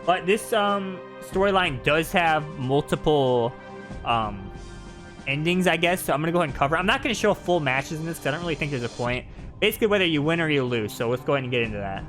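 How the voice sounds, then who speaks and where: A man's voice: a little high, animated, quick pace, fairly bright tone, soft background music. A man doing casual live commentary on video game footage, talking in an informal way.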